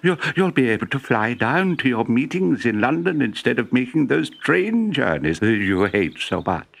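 A man voicing an excitable, absent-minded old professor in a storybook, eager and exclaiming. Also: mid-range voice, moderate pace, creaky, voice pushed up. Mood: excited. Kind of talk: storybook character voice. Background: background music.

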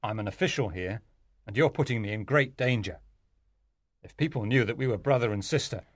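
An actor playing a cold, frightened spy, curt and defensive, in a dramatised audiobook. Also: male voice, mid-range voice, lively intonation, normal speed, husky, no room sound. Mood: cold, fearful. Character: shifty, cold, frightened spy hiding his identity.

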